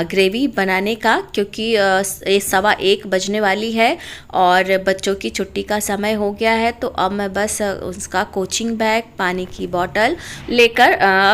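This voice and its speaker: A woman's voice: mid-range voice, fairly bright tone. A middle-aged woman casually narrating her daily home and cooking routine as a vlogger, her throat sore from a cold. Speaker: homemaker vlogger and mother.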